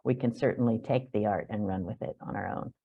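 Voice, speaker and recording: Woman with a gravelly fry, supportive group chat, kinda lo-fi sound. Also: very deep voice, brisk. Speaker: participant in a community discussion. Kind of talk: casual chat. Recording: dull lo-fi sound.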